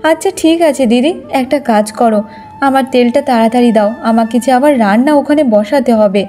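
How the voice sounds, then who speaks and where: A woman's voice: fairly high pitch, moderate pace, lightly acted. A woman voicing a gossipy, friendly village housewife in a cartoon, chatty and wheedling. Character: gossipy neighbour housewife, friendly, pleading, hurried. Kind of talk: Bengali moral-story cartoon dubbing.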